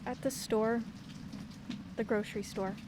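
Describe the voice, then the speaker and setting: An actress playing a young woman, shaken and near tears, who talks haltingly and repeats her words. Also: on the high side, fairly even intonation, lightly acted. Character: young woman June, upset daughter on phone. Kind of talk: radio play acting. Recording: heavy rain noise behind.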